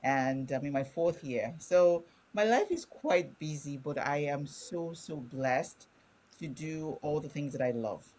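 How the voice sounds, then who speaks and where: A woman's voice: sits low, expressive, measured pace, a little mellow. A middle-aged woman from Nigeria with a Nigerian accent, speaking candidly as a guest author and explaining things in a conversational way. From Ibadan, Nigeria. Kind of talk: conversational interview.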